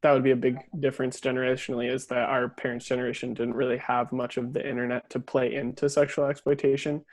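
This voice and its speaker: Hesitant young man, flat delivery. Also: medium pitch, no room sound. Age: young adult. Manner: hesitant, many "um" pauses. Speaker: panelist. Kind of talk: panel discussion.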